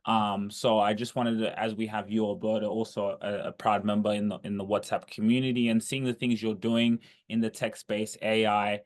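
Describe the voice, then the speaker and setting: Lower-voiced man hosting a podcast. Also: flat delivery, normal speed, hardly any pauses. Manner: encouraging, rambling questions with restarts. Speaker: podcast host. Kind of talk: casual podcast interview.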